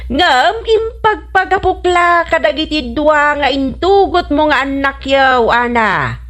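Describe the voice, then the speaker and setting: A woman's voice: high voice, lively intonation, slow, fairly bright tone, heavily acted. Woman playing a scolding older neighbour woman. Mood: scolding, exasperated. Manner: scolding, grumbling. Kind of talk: comedy radio drama acting.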